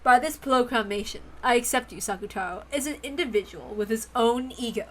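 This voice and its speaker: A woman's voice: fairly high pitch, fairly quick, close to an everyday voice. A woman voicing a proud, theatrical witch in a visual novel read-aloud, speaking in a ceremonious, declamatory way. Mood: solemn, proclaiming.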